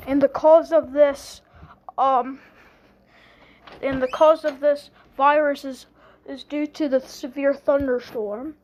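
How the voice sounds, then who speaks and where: A woman with a high, soft-toned voice makes mock emergency broadcast announcements. She sounds like an amateur improvising official wording, halting and restarting phrases as she goes. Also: fairly even intonation, slight background noise, poor audio. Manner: halting, repeats phrases and restarts sentences often.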